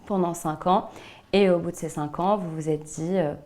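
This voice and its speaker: A woman's voice: fairly low pitch, steady tone, moderate pace, warm tone. A woman hosting an interview in an informal, conversational way, rewording and padding her questions with small tag words. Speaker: interview host.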